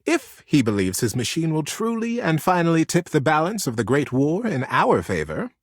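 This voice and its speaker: A man voicing an aged female animal leader, grave and commanding, with deliberate, weighty speech in a storybook tale. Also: on the high side, wildly swooping pitch, no room sound, studio quality, pitched above the performer's own voice.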